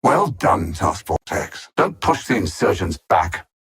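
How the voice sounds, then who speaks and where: An actor voicing a haughty alien machine intellect, a conquering video game villain who speaks with precise, condescending menace. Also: male voice, low-pitched, very animated, a little slow, a bit bright, slightly rough, full character voice. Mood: cold, commanding.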